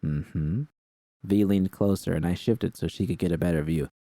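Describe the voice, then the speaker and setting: A man's voice: sits low, fairly even intonation, a little fast, warm tone, no room sound, clean studio sound. A man reading scripted first-person fiction as an audiobook narrator.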